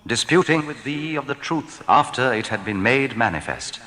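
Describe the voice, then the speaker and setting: A man giving a solemn, formal reading of translated scripture, deliberate and declamatory in his phrasing. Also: mid-range voice, expressive, sharp tone. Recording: long reverb, hall-like.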